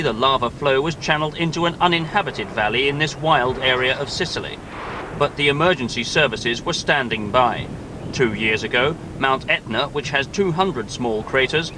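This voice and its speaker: Male reporter over noisy footage. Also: mid-range voice. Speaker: news reporter. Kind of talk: scripted news report narration. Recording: noisy 1980s TV report tape.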